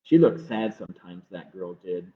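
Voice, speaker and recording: A man's voice: low-pitched, expressive, moderate pace. Man reading a storybook, phone-like muffled audio. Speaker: library storytime reader. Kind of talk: reading a picture book aloud to children. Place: livestream from a closed-library online program.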